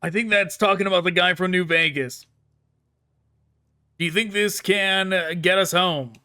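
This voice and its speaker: A man's voice: sits high, fairly even intonation, moderate pace, pushed and bright. A man giving casual, rambling gameplay commentary as a video game streamer. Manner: rambling, musing.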